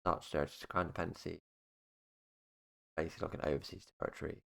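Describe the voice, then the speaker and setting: A young man with a very low, gravelly voice. He talks loosely to the camera, looping through "obviously" and "basically" as he goes. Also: steady tone, quick pace. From United Kingdom. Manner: rambling, full of fillers like "obviously" and "basically". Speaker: YouTuber. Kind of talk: casual explainer monologue.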